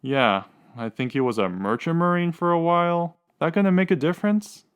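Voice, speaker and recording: A man's voice: a little high, moderate pace, clean tone, lightly acted. A man playing a dejected, lovesick suspect under questioning in a radio drama, plainspoken and unsure. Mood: hesitant.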